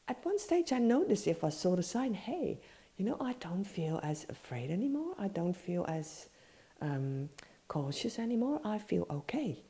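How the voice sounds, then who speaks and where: Low, mellow woman with a cold. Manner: rambling, many fillers and self-corrections. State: stuffy nose from a cold. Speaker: podcast host, meditation teacher. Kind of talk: casual spoken teaching.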